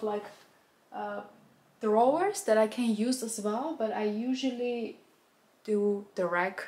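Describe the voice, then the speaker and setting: Chatty young woman cleaning her apartment. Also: a little high. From Armenia. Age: mid-20s. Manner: chatty, a little nervous, reflective. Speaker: vlogger. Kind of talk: casual chat to camera while cleaning. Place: small apartment indoors. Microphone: camera on a stand, some lines away from it.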